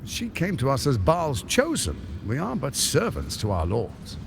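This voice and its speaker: A man playing a calculating tyrant lord in a video game, measured, persuasive and grandiose. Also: mid-range voice, wildly swooping pitch, gravelly, noisy background, heavily acted. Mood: persuasive, assured. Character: suave, calculating male tyrant lord courting an ally. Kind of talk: dramatic video game character acting.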